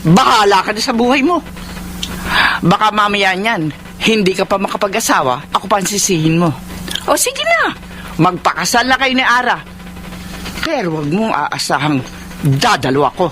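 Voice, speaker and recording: A woman's voice: mid-range voice, very animated, normal speed, pauses often, fairly bright tone. A woman playing a bitter, demanding middle-aged mother in a radio drama, accusing and confrontational, full of reproachful questions. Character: bitter, demanding mother who guilt-trips and resents. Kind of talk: radio drama acting in Filipino.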